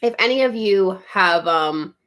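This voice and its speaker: A woman's voice: mid-range voice, lively intonation, unhurried, a bit bright, rough recording. Giggly Canadian woman chatting on a livestream. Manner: giggly and chatty, laughs often, drifts off topic. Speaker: YouTube livestream host. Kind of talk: casual livestream chat reading viewer comments. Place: home studio set.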